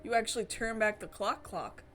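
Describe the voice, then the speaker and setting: A woman streamer giving casual, expressive commentary as she plays and reads a visual novel, reacting with exclamations. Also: fairly high pitch, moderate pace. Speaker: streamer doing a playthrough. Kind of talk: casual game commentary, reading visual novel text aloud. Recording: music in the background. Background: game music.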